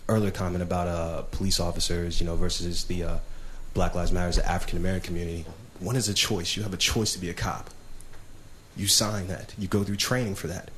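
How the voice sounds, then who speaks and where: A young man in his twenties making a point deliberately in a group discussion. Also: sits low, flat delivery, fairly bright tone, slight background noise. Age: 20s.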